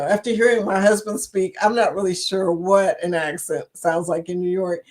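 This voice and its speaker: A middle-aged woman hosting a casual live chat, reading viewer comments aloud and laughing often. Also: lowish voice. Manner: laughs often, chatty and animated, reads out comments. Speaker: livestream chat host.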